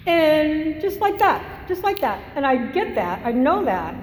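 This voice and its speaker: Brief: older woman, Massachusetts accent, slightly high voice, frank storyteller, roomy hall with faint hiss. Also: sing-song, a little slow. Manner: candid, rambling, self-deprecating humor. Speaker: speaker at a recovery meeting. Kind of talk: personal testimony talk. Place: meeting hall. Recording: echoey hall, faint noise.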